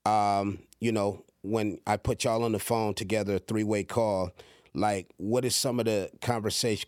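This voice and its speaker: Low-voiced man hosting a podcast. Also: no echo, clean studio sound. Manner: warm-hearted, repeats words and restarts a lot. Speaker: podcast host. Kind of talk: casual interview, encouraging.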